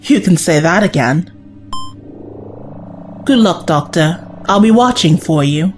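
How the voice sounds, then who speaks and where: A woman's voice: fairly low pitch, lively intonation, takes it slowly, soft background music. A young woman playing a brave, caring female companion in a sci-fi audio drama, earnest and direct. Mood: worried, earnest.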